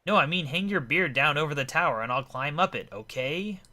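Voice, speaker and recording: Man voicing earnest game adventurer, bright and lively. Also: on the high side, brisk, voice pushed up. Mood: puzzled, earnest. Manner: polite, questioning. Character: earnest, puzzled male adventurer hero of a fantasy game. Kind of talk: reading video game dialogue aloud.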